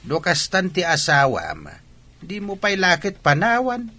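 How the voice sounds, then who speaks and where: A man playing a fatherly old grandfather in an Ilocano radio drama, with expressive, drawn-out delivery. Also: high-pitched, heavily acted. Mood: concerned. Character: fatherly old village grandfather, warm and protective.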